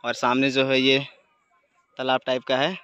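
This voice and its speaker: A man's voice: medium pitch, monotone, moderate pace, pushed and bright, clean tone. A man in his thirties walking through open village fields at dusk, chatting to viewers on a phone held at arm's length. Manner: chatty, addresses viewers directly. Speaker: vlogger. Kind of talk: casual travel vlog narration.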